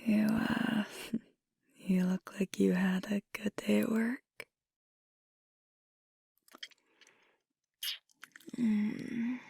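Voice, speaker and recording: A woman playing an affectionate, teasing werewolf girlfriend in an ASMR roleplay, speaking in a soft, breathy, half-whispered voice with casual pauses. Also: mid-range voice, fairly even intonation, slight background noise. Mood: tender, relaxed. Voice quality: breathy, husky, gravelly fry. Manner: casual, unhurried, hesitant pauses. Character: fiery werewolf girlfriend, affectionate, teasing, weary pack member.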